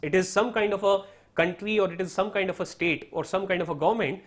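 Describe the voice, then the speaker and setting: Indian English male teacher, Hindi native, slightly high voice, patient step-by-step explainer. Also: fast. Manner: explanatory, repeats "right?" and "so therefore", mixes in Hindi. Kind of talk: online lesson on study strategy.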